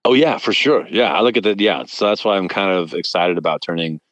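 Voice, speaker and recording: A man's voice: sits low, lively intonation, fairly quick, a bit bright, dry recording, muffled recording. A man in his fifties, a guest comedian in casual podcast chat, who laughs often and makes jokey asides. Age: 50s.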